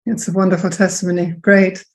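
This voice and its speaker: A woman's voice: on the low side, little pitch movement, a little fast, a little mellow. Polite woman hosting an interview. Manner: polite, affirming. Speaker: interviewer, host.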